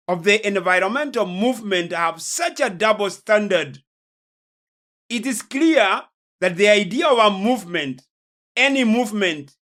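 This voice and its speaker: Male preacher, slow deliberate pace, forceful bright tone, emphatic pauses, sermon-like lecture. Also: high voice. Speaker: preacher, host of a religious programme. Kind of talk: sermon-like lecture on prophecy and world events.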